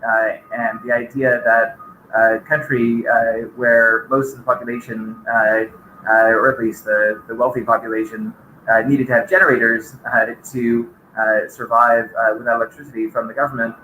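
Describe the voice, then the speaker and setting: Middle-aged man, conference speaker, halting delivery full of fillers; roomy echo on the mic. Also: mid-range voice, level tone, sharp tone, slight background noise. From Bahamas. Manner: hesitant, many filler "uh"s. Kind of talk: conference talk.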